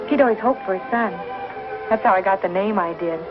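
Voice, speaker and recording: An actress playing a firm but kindly young schoolteacher in a 1950s television Western, speaking with precise, proper diction. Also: female voice, mid-range voice, fairly quick, close to an everyday voice. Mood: friendly, earnest. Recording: muffled old 1950s TV soundtrack, loud music.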